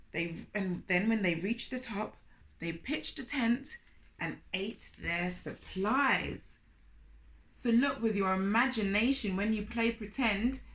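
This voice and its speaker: A woman reading a picture book aloud to young children, animated and speaking to them directly with questions. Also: medium pitch. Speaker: children's storyteller, host of a storytime series.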